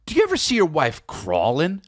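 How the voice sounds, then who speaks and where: An American man hosting a comedic podcast, telling personal stories in a casual, rambling, animated way with repeated phrases for emphasis. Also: a little high, fairly quick, no echo, studio quality.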